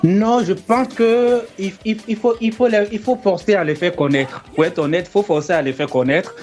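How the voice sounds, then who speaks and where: Male musician guest, steady, sincere delivery, a bit higher register. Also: faint music behind. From Côte d'Ivoire. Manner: earnest, measured, fillers like quoi. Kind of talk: radio interview remotely.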